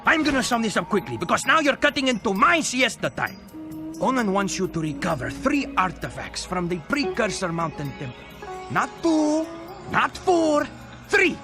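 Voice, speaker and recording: An irritable, sardonic talking bird, high and wildly swooping. He is a haughty, theatrical video game interpreter with no patience, over background music. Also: big pitch swings, normal speed, heavily acted. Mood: impatient, dramatic. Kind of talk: animated video game acting.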